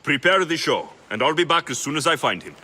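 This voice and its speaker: An actor playing a firm, no-nonsense young Greek mercenary hero in a video game, blunt and commanding. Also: male voice, on the high side, big pitch swings, fairly quick, bright, forceful tone, slight background noise, exaggerated voice. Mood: dry.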